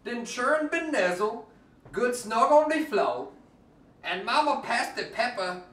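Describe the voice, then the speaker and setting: A man performs a storybook in dialect with playful exaggeration. His pitch swings widely as he takes his time over each line. He is recorded at a distance from the mic in an echoey room. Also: fairly bright tone. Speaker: storyteller reading a picture book aloud. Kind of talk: theatrical storybook reading in Cajun dialect.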